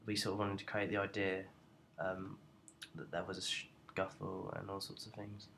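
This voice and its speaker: A young man giving an informal software tutorial in a hesitant, halting way. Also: deep voice, monotone, gravelly, slight background noise. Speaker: student tutorial presenter.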